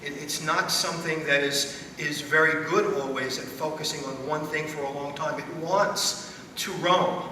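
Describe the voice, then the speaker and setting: A middle-aged man speaking as a school principal on a panel, in measured, deliberate phrases. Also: on the high side, fairly even intonation, sharp tone. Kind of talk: panel discussion. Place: school theater stage at a panel table. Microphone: distant from the speaker. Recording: echoey hall, distant mic, background noise.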